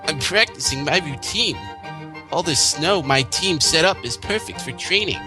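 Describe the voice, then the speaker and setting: A man's voice: fairly high pitch, expressive, moderate pace, music in the background, voice pushed up, natural voice. A man voicing a brash, casual female pegasus pony in an amateur story reading. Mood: breezy, confident.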